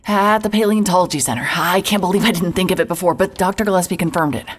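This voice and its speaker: A woman's voice: on the low side, quick pace, a little hiss or hum, natural voice. An actress playing an eager young woman investigator in an audio drama, who talks in a breathless rush and stumbles over her words. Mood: urgent.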